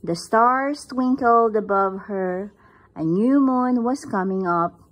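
A woman's voice: medium pitch, takes it slowly, poor audio. A woman reading a picture book aloud at home, recorded on a phone camera above the book. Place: indoors, at home.

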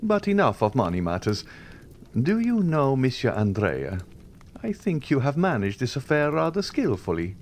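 A man's voice: medium pitch, wildly swooping pitch, moderate pace, darkish tone, a little hiss or hum, pitched above the performer's own voice. A man doing the Count of Monte Cristo, suave and ironic. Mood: courteous, ironic. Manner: half-jesting, smoothly courteous. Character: suave, coolly ironic older nobleman, male.

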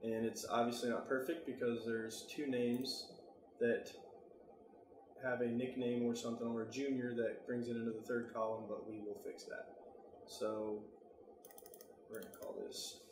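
An American man giving a casual software tutorial, talking informally and thinking aloud as he works. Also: medium pitch, flat delivery, normal speed, roomy sound, noisy background. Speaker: tutorial presenter.

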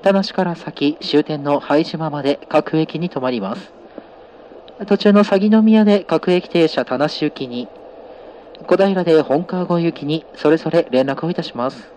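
A man's voice: a little high, measured pace, darkish tone, slight background noise, rough recording. A man working as a train conductor, making formal, polite announcements to passengers over the train's PA.

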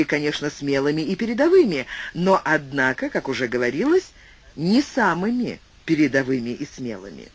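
Husky, lively synthetic male reader. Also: high-pitched. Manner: reads quotation marks aloud word for word. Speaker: audiobook narrator. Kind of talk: reading an essay aloud.

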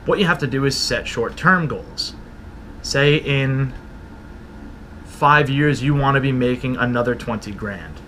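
Slow, bright-voiced man podcasting from home. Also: mid-range voice, slight background noise. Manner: slow, reflective and encouraging. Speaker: podcast host. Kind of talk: motivational solo talk. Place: small home room, indoors. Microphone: studio mic with pop filter, camera at desk.

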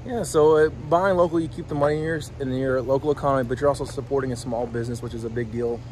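A man's voice: medium pitch, steady tone, fast, a little mellow. A man, casually explaining his work as a farmer while giving a tour. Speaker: farmer showing the farm. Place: outdoors and in greenhouses on a farm. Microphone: phone camera a step away. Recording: outdoor phone recording with background noise.

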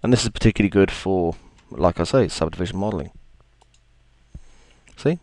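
A man with a gravelly, deep voice, chatting his way through a software tutorial with plenty of ums and asides. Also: a little fast, dry recording. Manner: chatty, self-deprecating, thinks aloud with ums. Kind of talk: screen-recorded software tutorial.

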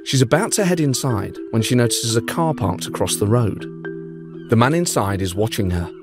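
A man's voice: mid-range voice, lively intonation, normal speed, music in the background. A man narrating a true-crime story as podcast host, with dry, wry asides. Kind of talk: scripted storytelling narration with banter.

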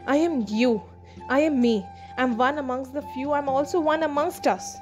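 A woman recites a spoken-word poem in a slow, solemn and emphatic way. Her voice sits a little high. A clear music bed runs underneath. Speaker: poetry reciter. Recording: music bed behind voice-over.

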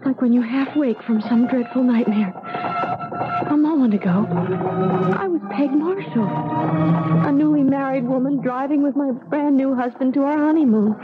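A woman's voice: sits high, moderate pace, natural voice. An actress playing a young newlywed woman in a radio drama, in dialogue and first-person narration. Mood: shaken, dread. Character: young newlywed bride, drowsy, bewildered, frightened. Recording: tinny old radio broadcast, loud music behind.

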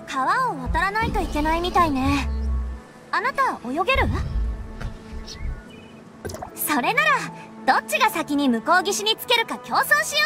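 A woman voicing a chatty, eager teenage girl in a Japanese anime game, lively and friendly. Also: very high-pitched. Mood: playful, excited.

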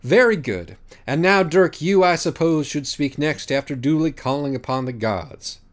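A man's voice: a little high, expressive, normal speed, natural voice. A man voicing a grave, pompous judge of souls, speaking formally and rhetorically in an audiobook. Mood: ceremonious. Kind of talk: mock-classical dialogue in an audiobook.

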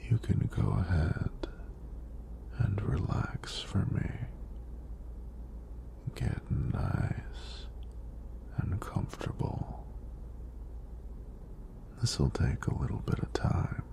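Guy playing a flirty gentle male emt, asmr style, super slow half whispered gravelly deep voice, soothing. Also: animated, exaggerated voice. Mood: soothing, attentive. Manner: slow, soothing, reassuring, praising. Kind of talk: soft-spoken ASMR roleplay.

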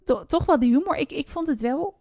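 A woman's voice: high voice, lively intonation, a little fast, mellow, dry recording, clean studio sound. A woman chatting casually and appreciatively about books as a podcast host, lightly amused. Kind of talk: casual book discussion.

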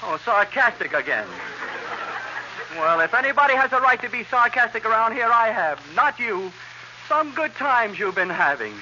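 An actor playing a fussy, jealous househusband in 1930s radio comedy, plaintive and theatrical. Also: male voice, high-pitched, very animated, moderate pace. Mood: jealous, indignant. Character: fussy, jealous, nagging househusband in a role-reversal sketch. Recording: dull, hissy 1930s radio recording.

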